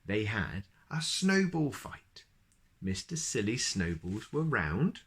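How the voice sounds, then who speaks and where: A man reading children's storybooks aloud as host, expressive and speaking directly to young listeners. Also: fairly low pitch, takes it slowly, a little hiss or hum.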